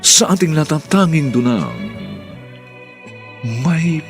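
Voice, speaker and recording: Male radio drama narrator, mid-pitched and very animated, grand scripted delivery with music behind. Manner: theatrical, solemn, drawn-out title calls. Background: dramatic theme music.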